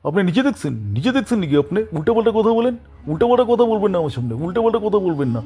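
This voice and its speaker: Man voicing a fast, cheeky, defiant cartoon animal. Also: sits high, big pitch swings, mellow, husky, heavily acted. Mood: indignant denial. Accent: Bangladeshi. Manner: argumentative, taunting, repeats phrases. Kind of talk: comic Bangla animal cartoon dialogue.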